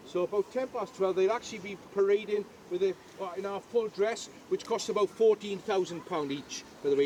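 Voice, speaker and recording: A man's voice: high voice, slight background noise. An older Welsh man giving a jokey, chatty guided tour outdoors at a historic castle, often asking the crowd questions.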